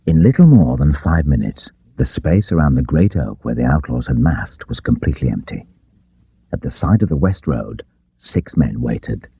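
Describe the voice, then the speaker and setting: A man narrating an adventure audiobook in an expressive, theatrical storytelling style. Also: a bass voice, vocal fry, slightly rough, no room sound. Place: dry studio. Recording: dull, lo-fi tape audiobook.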